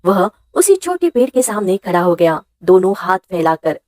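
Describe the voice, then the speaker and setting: A woman's voice: on the low side, big pitch swings, normal speed, darkish tone, no echo, clean studio sound. A woman narrating a scripted story in a dramatic storytelling style.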